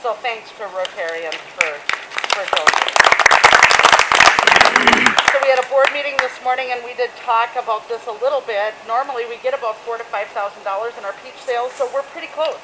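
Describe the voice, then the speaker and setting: A woman's voice: a little high, fairly quick, a loud crowd. Chatty Minnesotan woman outdoors. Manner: loose, chatty, with fillers. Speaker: meeting presider. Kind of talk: casual club meeting. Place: outdoor park. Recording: noisy outdoor recording.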